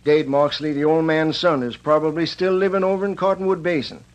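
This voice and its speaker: Man acting an earnest warden, higher-pitched voice. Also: flat delivery, lightly acted. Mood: solemn, hopeful. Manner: earnest, deliberate, official. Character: earnest, fair-minded Western prison warden. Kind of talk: 1940s-1950s Western radio drama acting. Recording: dull lo-fi old radio recording.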